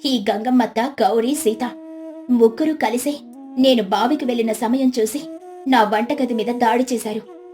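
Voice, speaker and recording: A clever village housewife, acted out by a woman storyteller, full of theatrical exclamation. Her voice is high and dramatic, made for a storybook tale. Light music runs underneath. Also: moderate pace, a little mellow, close to an everyday voice. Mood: sly, scheming.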